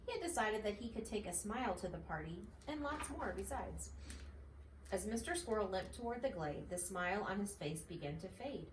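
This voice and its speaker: A woman reads a children's storybook aloud in a medium-pitched voice. The recording is echoey and slightly distant, with faint noise behind her. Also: animated, moderate pace. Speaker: mother reading a storybook.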